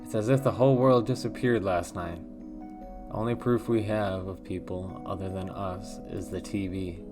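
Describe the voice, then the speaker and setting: A man reading a text horror game aloud in a hushed, measured way with a wondering, uneasy tone. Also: deep voice, fairly even intonation, over music. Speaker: let's play host.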